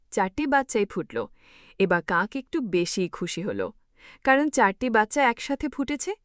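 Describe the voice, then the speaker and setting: A woman's voice: medium pitch, dry recording, clean studio sound. A woman narrating a scripted children's story, expressively, as if speaking to young listeners.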